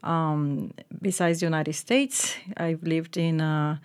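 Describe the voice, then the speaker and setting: A woman's voice: sits low, steady tone, slow pace, smooth. A Puerto Rican woman in her forties, a guest in an interview, talking casually with many fillers and restarts. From Puerto Rico. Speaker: guest, communications manager.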